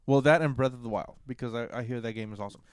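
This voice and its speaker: A man's voice: medium pitch, rapid-fire, clean tone, no room sound. A man co-hosting a podcast in casual review banter, swearing freely and agreeing in a laid-back way. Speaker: podcast co-host.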